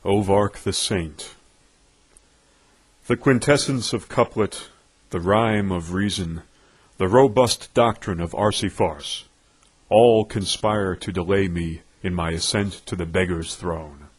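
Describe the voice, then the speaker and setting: Husky man declaiming verse, slow and pompous. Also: sits low. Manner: pompous, declamatory, with dramatic emphasis. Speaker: poetry reader. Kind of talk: theatrical recitation of nonsense verse.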